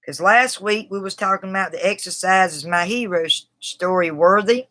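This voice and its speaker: A woman with a Southern drawl talks loosely and off the cuff, her voice bright and clear. She rambles a bit and breaks off to greet viewers by name in an easygoing livestream chat. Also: mid-range voice, moderate pace. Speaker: writer discussing a book on a livestream. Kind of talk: casual livestream talk. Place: outdoors.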